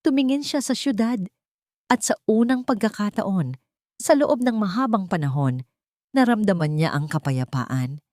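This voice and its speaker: A woman's voice: medium pitch, expressive, moderate pace, a little mellow, no echo, clean studio sound. A woman narrating a scripted love story in a dramatic storytelling style.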